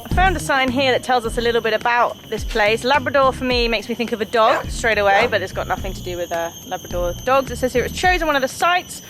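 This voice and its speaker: A woman's voice: on the high side, animated, fast, few pauses, over music, rough recording. A woman in her thirties from the UK, talking in a chatty, curious way as she narrates a family nature walk vlog outdoors. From United Kingdom. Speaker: vlogger. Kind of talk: casual travel vlog narration.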